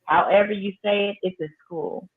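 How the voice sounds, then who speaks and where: A woman high school principal, talking firmly and emphatically in a casual interview. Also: fairly even intonation, moderate pace. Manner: emphatic, firm, repeats phrases for effect. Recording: tinny phone-like line.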